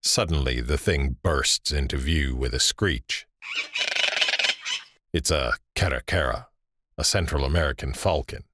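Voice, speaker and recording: A man narrating a scripted audio drama with deliberate, suspenseful storytelling. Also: very deep voice, heavy background noise.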